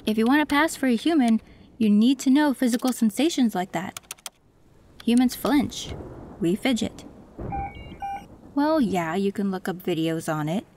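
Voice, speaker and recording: A woman voicing a female cyberpunk tech who works on an android, calm and matter-of-fact, in an audio roleplay. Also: on the high side, slight background noise, natural voice.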